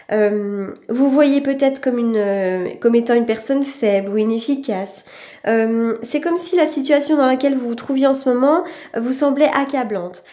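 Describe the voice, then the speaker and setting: A woman's voice: fairly high pitch, darkish tone. A woman giving an informal tarot reading as host, explaining in a reassuring way and often checking that listeners follow. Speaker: tarot reader, channel host.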